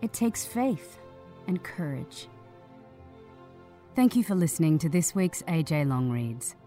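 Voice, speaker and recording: A woman reading a long-form article in a measured, serious scripted narration. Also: low voice, big pitch swings, mellow, soft background music. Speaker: article reader.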